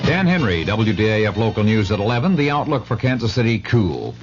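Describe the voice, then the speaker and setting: A man's voice: lowish voice, very animated, brisk, faint music behind. A man reading a scripted local radio newscast with clipped announcer delivery. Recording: dull radio, faint noise and hum.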